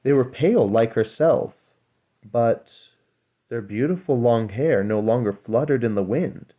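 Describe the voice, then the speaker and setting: A man reading a fairy tale aloud as a storyteller, in a measured, dramatic way. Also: mid-range voice, lively intonation, dull audio.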